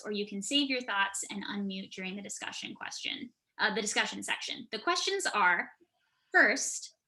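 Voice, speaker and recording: A woman's voice: mid-range voice, pushed and bright. A woman co-hosting an online event and giving introductions over a video call. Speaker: event co-host. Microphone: video call microphone.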